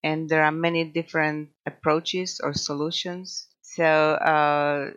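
A woman's voice: low-pitched, flat delivery, slow pace, fairly bright tone, lo-fi sound. A woman from Croatia with a Croatian accent, a podcast guest talking casually with many fillers and restarts. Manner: hesitant, many fillers and restarts, laughs often. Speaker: podcast guest, scientist and nature photographer. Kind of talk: casual interview talk.

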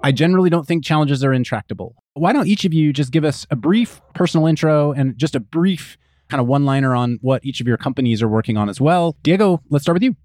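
A man's voice: on the high side, quick pace, a little mellow, dry recording, clean studio sound. A man hosting an interview, talking conversationally as he moderates a discussion. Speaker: podcast host and moderator.

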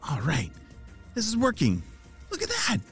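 A man acts out a young boy spy in a children's adventure story. The voice jumps high with excitement, as if calling in a report, over faint music. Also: moderate pace, heavily acted. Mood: excited. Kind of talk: children's audio story character.

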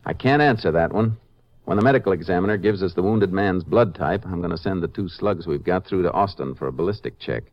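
Low-voiced, no-nonsense Texas Ranger. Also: male voice, brisk, no room sound. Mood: businesslike. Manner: terse, matter-of-fact. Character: steady, no-nonsense male Texas Ranger investigator. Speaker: lead actor and narrator. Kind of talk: 1950s western radio drama acting and narration. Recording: dull vintage 1950s radio recording.

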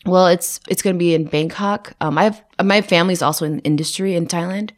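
A woman's voice: lowish voice, fast. A woman who grew up in the United States, a production designer chatting in an interview with many fillers, restarts and frequent laughs. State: tired. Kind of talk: casual interview conversation.

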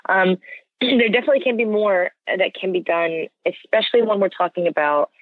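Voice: mid-range voice, a little fast, no echo. An American interview guest speaking earnestly and conversationally, explaining points and often checking with "right?". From United States. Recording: narrow, tinny phone-line sound.

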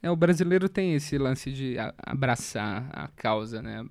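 A host from Minas Gerais with no regional accent, asking questions in a relaxed, joking interview chat. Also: medium pitch, a little slow, no room sound, studio quality. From Minas Gerais, Brazil.

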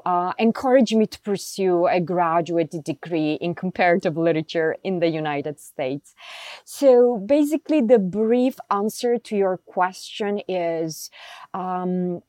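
A woman from Bulgaria, a literary translator, answering interview questions in a reflective, measured way with frequent pauses and ums. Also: medium pitch, darkish tone, no room sound.

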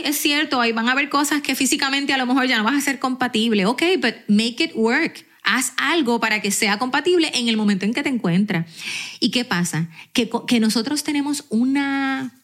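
A woman in her forties with a Puerto Rican accent, a podcast guest talking casually in an animated, emphatic, motivational way. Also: on the high side. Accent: Puerto Rican Spanish. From Puerto Rico. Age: 40s. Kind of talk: casual interview chat.

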